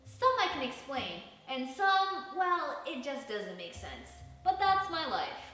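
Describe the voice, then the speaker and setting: Woman reading as a chatty, bright-voiced young boy. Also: high voice, sing-song, slow, exaggerated voice. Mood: chatty, bemused. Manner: conversational, confiding asides. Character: chatty, bemused young boy addressing the audience. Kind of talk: children's storybook character reading. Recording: echoey room, distant mic.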